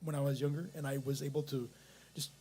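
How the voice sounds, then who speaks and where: A man at a coffee shop open mic, speaking in a reflective, halting way about the poems he just heard. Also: medium pitch, steady tone, mellow, a little hiss or hum, rough recording. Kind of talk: casual chat.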